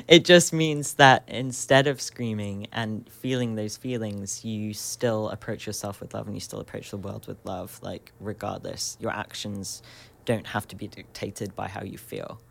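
A man in his twenties, a radio guest, speaking reflectively and searchingly with many pauses and soft laughs. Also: lowish voice, gravelly. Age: 20s. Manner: searching and thoughtful, many ums, laughs often. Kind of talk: reflective radio interview talk.

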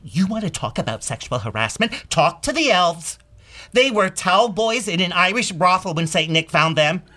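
A man voicing a fiercely loyal reformed reindeer in a forceful, persuasive stage monologue. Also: sits high, big pitch swings, moderate pace, voice pushed up, full character voice. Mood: indignant, defensive.

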